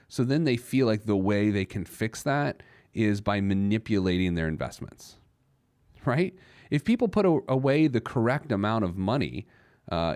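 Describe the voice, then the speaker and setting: A man's voice: on the low side, a little mellow, no room sound, studio quality. A man hosting a personal finance show in an informal, opinionated way, emphatic, repeating phrases for stress and stopping and restarting between rhetorical questions. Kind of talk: informal opinionated radio talk on money.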